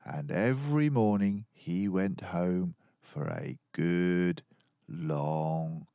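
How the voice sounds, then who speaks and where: A man reading a children's storybook aloud in an animated, expressive way, acting out the story. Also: low voice, very slow, creaky, smooth, no echo. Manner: animated, acts out dialogue. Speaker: children's book reader.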